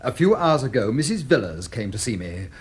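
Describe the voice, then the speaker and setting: Radio-drama diplomat: British, stern, formal and clipped, widely varied intonation. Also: male voice, mid-range voice, sing-song, brisk, a little mellow, slightly rough. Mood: stern warning.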